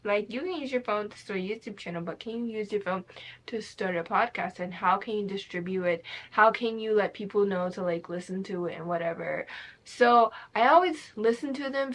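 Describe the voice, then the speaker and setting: A woman's voice: mid-range voice, moderate pace, fairly bright tone, poor audio. A young woman hosting her own podcast, talking in a rambling, candid way and swearing freely. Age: young adult. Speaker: podcast host. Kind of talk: casual solo podcast talk.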